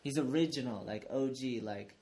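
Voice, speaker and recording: Male pro skater, casual, energetic, cusses a lot. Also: mid-range voice, moderate pace. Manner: enthusiastic, swears freely. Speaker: professional skateboarder. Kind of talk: casual chat.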